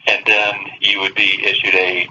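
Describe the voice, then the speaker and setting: A man speaking as a human resources official on a phone call, halting and careful, with polite phrasing. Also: on the low side, completely flat delivery, sharp tone. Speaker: school human resources director. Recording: distant, dull, noisy phone line.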